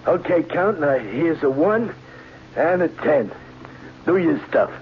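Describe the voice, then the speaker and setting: An actor playing a dim-witted, trusting sidekick who stammers eagerly in a radio drama. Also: male voice, fairly high pitch, expressive, slow, a little hiss or hum. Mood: insistent. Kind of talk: 1940s radio acting. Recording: tinny old radio recording with music.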